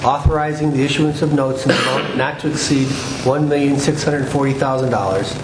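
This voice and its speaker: A man reading ordinances aloud at a council meeting in a steady, formal way. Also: medium pitch, fairly quick. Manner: steady formal reading, helpful about procedure. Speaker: council official reading legislation. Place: council chamber. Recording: echoey chamber with background noise.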